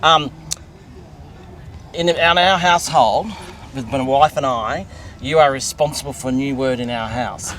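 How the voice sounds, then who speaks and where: Playful host. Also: male voice, medium pitch, measured pace, pauses often, fairly bright tone, slight background noise. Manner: playful, laughing, many yeahs. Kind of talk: casual interview chat.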